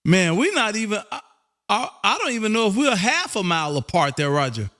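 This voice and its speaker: High-pitched, folksy American man on ham radio. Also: very animated, brisk. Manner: loud, folksy, chummy, says "man" constantly. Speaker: amateur radio operator and livestream host. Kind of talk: casual ham radio contact chat.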